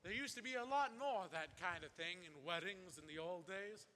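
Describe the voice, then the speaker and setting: An actor playing a folksy narrator and wedding minister who talks in a drawling, homespun way with wry asides. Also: male voice, sits high, a little fast, pushed and bright, slight background noise. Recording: reverberant old film soundtrack.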